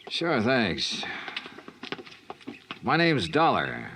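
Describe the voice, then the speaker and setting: An actor playing a brisk, world-weary investigator with an American accent in old-time radio style, clipped and dry. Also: male voice, mid-range voice, wildly swooping pitch, bright, forceful tone, slight background noise, exaggerated voice. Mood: casual. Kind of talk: old-time radio detective acting with first-person narration. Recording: vintage radio recording with music.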